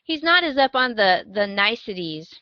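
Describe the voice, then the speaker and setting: A woman's voice: mid-range voice, sing-song, moderate pace, no room sound. Woman chatting, dry muffled sound. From United States. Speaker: podcast co-host. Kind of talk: casual podcast chat, giving a recommendation.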